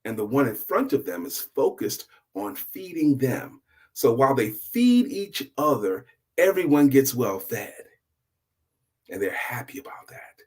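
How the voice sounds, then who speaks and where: Husky man, animated storyteller. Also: medium pitch. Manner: emphatic, with dramatic pauses and hushed drops. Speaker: storyteller host. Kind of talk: dramatic, deliberate oral storytelling with a moral.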